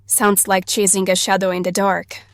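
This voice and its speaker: A woman's voice: mid-range voice, little pitch movement, normal speed, no room sound, natural voice. A woman playing a blunt, curious, defiant sixteen-year-old girl with a casual, sweary manner in an audio drama. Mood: wary.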